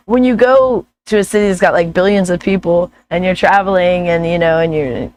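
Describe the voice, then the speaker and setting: Woman vlogging, hoarse gruff voice, kinda low, rambles and laughs a lot. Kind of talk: casual chat.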